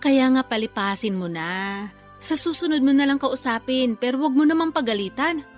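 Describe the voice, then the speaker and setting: A woman's voice: high voice, expressive, normal speed, soft background music. A Filipino actress playing a defensive, evasive older sister and mother in a radio drama, pleading and making excuses. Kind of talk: Tagalog radio drama acting.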